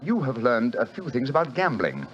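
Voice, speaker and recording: A calm detective with a clipped, wry delivery. His pitch rises and falls freely as he teases his friend. The sound is muffled and old, with faint hiss behind him. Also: normal speed. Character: calm, dry-witted male detective. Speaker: detective in a radio play. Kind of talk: 1940s radio acting.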